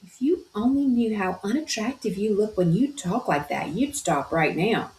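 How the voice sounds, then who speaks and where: A woman's voice: mid-range voice, animated, normal speed, darkish tone, natural voice. A woman voicing a prim, worried mother, read aloud from a storybook. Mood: fretful. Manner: fretful, prim. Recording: roomy echo.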